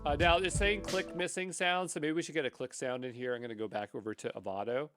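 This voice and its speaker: A man's voice: a little high, steady tone, quick pace, music in the background. A man giving an unscripted coding tutorial, thinking aloud in a rambling way with mildly exasperated asides. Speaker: tutorial instructor.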